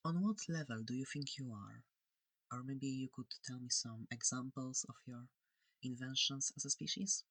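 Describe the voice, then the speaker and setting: A performer voicing a friendly, curious alien examiner in a soft, hesitant, breathy ASMR roleplay. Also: mid-range voice, moderate pace, no echo, rough recording. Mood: curious, thoughtful. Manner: soft-spoken, hesitant, gently inquisitive.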